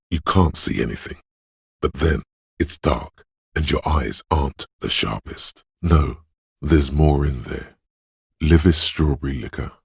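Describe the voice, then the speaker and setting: A deep, gravelly inner perception. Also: male voice, no echo, heavily acted. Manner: measured, observant, softly descriptive delivery. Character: the hero's inner sense of perception, male, watchful and calm. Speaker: voice of a perception skill in a video game. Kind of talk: second-person scripted game narration. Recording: dry close studio recording.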